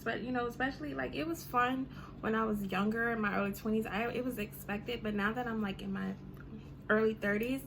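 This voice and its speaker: A woman's voice: fairly high pitch, fairly even intonation, fairly quick, gravelly, noisy background. A woman in her thirties ranting casually to her vlog audience, chatty and full of "you know" and "um". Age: 30s. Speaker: vlogger. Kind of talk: casual rant to camera.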